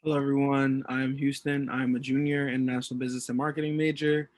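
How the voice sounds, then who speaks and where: A man's voice: medium pitch, flat delivery, quick pace, no echo. A man in his twenties with an American accent, a university student reading a scripted presentation. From Washington, D.C., United States. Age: 20s.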